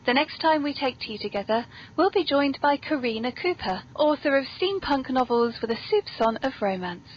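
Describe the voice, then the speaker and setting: High-voiced genteel British woman. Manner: genteel, very polite, playful. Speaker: podcast host. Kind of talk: whimsical scripted comedy hosting. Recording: muffled, noisy low-quality podcast audio.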